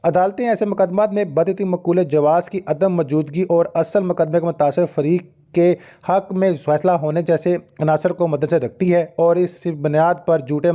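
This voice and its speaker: A man's voice: on the high side, dark tone. A man with a Pakistani accent lecturing on law, formally reading out notes and breaking off to explain points directly to students. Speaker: law lecturer and channel host. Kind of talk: lecture mixing read-out legal notes with explanatory asides.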